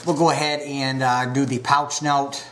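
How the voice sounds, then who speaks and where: A man casually reviewing pipe tobacco, unhurried and full of filler pauses, a bit stuffed up from a recent cold. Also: medium pitch, little pitch movement, a bit bright, a little hiss or hum.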